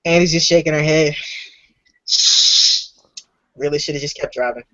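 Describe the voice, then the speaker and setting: A man's voice: on the high side, fairly even intonation, normal speed, dry recording. A man playing a tabletop game, making sarcastic remarks. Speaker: player. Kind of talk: wry table talk. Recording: dry dull lo-fi mic.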